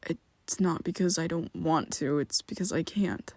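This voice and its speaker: Man doing a young vampire best friend, breathy gravelly whisper, slow and halting, close dry mic. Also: a little high, no room sound, exaggerated voice. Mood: pleading, defensive. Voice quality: soft breathy half-whisper. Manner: hesitant, stumbling restarts, pleading. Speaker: ASMR roleplay voice actor. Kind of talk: ASMR roleplay.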